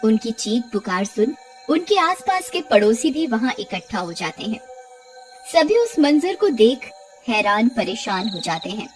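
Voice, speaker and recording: A woman's voice: on the high side, big pitch swings, unhurried, loud music behind the voice. A woman reading scripted narration for a cartoon horror story.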